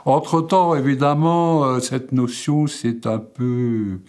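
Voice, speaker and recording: A man in his eighties from Alsace, whose first language is Alsatian, speaks haltingly about his life, with many fillers and repeated words. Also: medium pitch, fairly even intonation, darkish tone. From Alsace, France. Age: 80s. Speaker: painter and sculptor interviewed. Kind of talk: hesitant reflective monologue.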